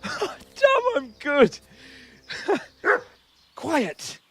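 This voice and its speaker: A spoiled young nobleman with a high, excitable voice that leaps all over. He speaks in drawn-out bursts, haughty and boastful. Music plays clearly behind this game cutscene performance. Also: no room sound, full character voice. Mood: gloating. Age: young adult. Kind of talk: video game cutscene acting.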